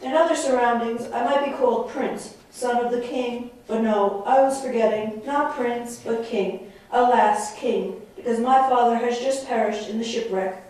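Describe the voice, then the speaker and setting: A gallant young prince, played by a woman speaks courtly, florid lines in a stage play. The voice is fairly high and sits far from the mic in a very echoey room. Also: steady tone, moderate pace, darkish tone, natural voice. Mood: mournful. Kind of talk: theatrical stage dialogue.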